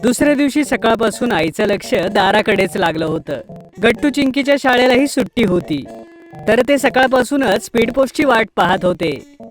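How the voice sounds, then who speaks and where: A woman's voice: a little high, expressive, moderate pace. Woman narrating children's stories over music. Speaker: story narrator. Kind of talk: scripted children's story narration.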